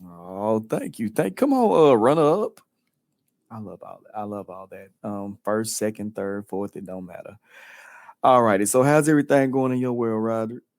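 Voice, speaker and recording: A man's voice: lowish voice, animated, moderate pace, a little mellow, no room sound. Chatty man hosting a podcast, dry sound. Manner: playful, chatty, many fillers. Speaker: podcast co-host. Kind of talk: casual podcast chat.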